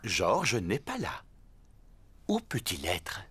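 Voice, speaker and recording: A narrator telling a preschool cartoon story in a friendly, expressive, clearly phrased scripted style. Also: low-pitched, slow, over loud music, heavily acted. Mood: storytelling.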